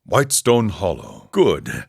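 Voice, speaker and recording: A serene, world-weary ascetic monk from a video game. The voice is very low and moves expressively, with measured, formal phrasing and a philosophical bent. The recording is dry and close. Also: male voice, wildly swooping pitch, gravelly, breathy edge, no echo, full character voice. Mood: calm, reassuring. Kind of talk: video game character dialogue.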